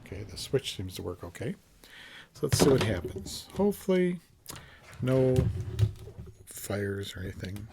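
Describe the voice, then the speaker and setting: A man's voice: lowish voice, sing-song, unhurried, gravelly, long pauses. A man giving a casual hands-on demonstration, musing and thinking aloud as he goes. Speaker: reseller reviewing a vintage find. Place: indoors, in a basement. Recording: faint background noise.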